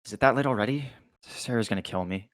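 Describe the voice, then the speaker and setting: A man's voice: lowish voice, fast, natural voice. Guy playing a nice awkward college kid, hesitant, casual table read delivery. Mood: tired, strained. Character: awkward, good-natured young male college student.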